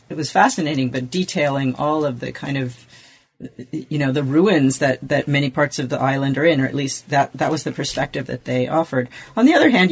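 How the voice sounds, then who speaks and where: A man interviewing a guest in a conversational way, with informal, roundabout questions. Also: fairly high pitch.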